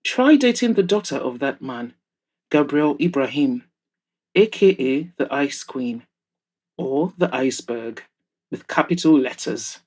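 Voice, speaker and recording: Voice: mid-range voice, lively intonation, measured pace, a little mellow. Narrator putting on a cheeky, teasing bachelor. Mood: teasing, knowing. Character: cheeky, teasing bachelor friend, adult man. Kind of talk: audiobook-style character dialogue.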